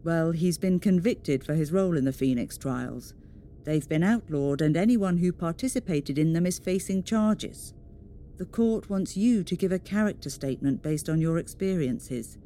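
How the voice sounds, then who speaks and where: Calm female care officer for sci-fi radio play, acted by a woman: soft dark tone, lowish pitch, reassuring, over rumbling noise. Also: normal speed, natural voice. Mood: soothing, persuasive. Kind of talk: audio drama acting. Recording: clear background noise with low rumble.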